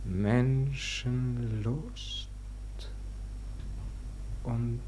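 An actor playing an anguished, remorseful aging nobleman with drawn-out, impassioned delivery in a 1940s radio drama. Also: mid-range voice, fairly even intonation, darkish tone. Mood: bitter remorse. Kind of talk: 1940s German theatrical radio acting. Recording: noisy old 1940s radio recording.

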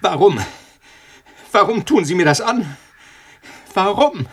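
An arrogant, cornered scientist in a radio drama. His husky voice swings widely in pitch, and he speaks in agitated, broken phrases that he often repeats. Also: animated, slow, fairly bright tone, full character voice. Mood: desperate. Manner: agitated, stammering, repeating himself. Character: arrogant male scientist reduced to frantic, pleading terror. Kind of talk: radio play acting.

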